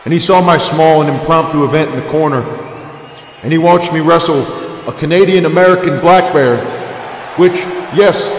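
A defiant drifter-turned-champion wrestler, voiced by a man cuts a blunt promo in a wrestling game, swearing freely. His voice sits a little high, and it rings out with a roomy echo and a long reverb tail. Faint ambience hums underneath. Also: normal speed, slight background noise, close to an everyday voice. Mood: grim.

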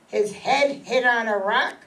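A woman's voice: fairly high pitch, unhurried, fairly bright tone. A woman playing a short, wheedling small-time male gangster with slangy tough-guy talk in a stage radio mystery. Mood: feigned sorrow, evasive. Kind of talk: radio mystery acting before a live audience. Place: meeting room stage, camera among audience. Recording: distant mic in an audience room.

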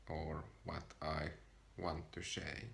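Finnish guy, english not his first language, super low and gravelly, slow halting talk to the camera. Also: completely flat delivery, a bit bright, slight background noise. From Finland. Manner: shy, hesitant, halting. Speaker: vlogger. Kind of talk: personal vlog to camera. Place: small room, seated on a sofa. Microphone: camera in front of him.

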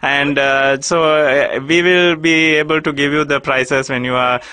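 A man's voice: on the high side, fairly even intonation, measured pace, bright, forceful tone, clear, resonant tone, no echo. A man with a Sri Lankan accent making a prank phone call, stammering through overly polite airline-agent talk. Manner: hesitant, stammering, fake customer-service politeness. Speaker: radio prank caller.

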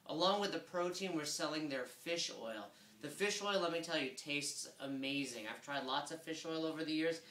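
A man's voice: a little high, sharp tone, reverberant. A man in his thirties giving a casual, upbeat weekly update to camera from a small office, talking with lots of hand gestures. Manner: upbeat, gestures a lot with both hands. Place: small office room with desk and chairs. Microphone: fixed camera a few feet away.

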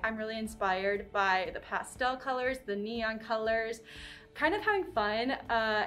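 Earnest young woman, slightly high voice. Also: fairly bright tone. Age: young adult. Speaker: design student. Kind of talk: interview answers.

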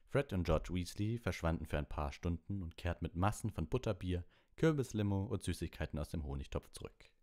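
A man's voice: deep voice, expressive, fairly quick, hardly any pauses, darkish tone. A man narrating a storybook as an audiobook reader.

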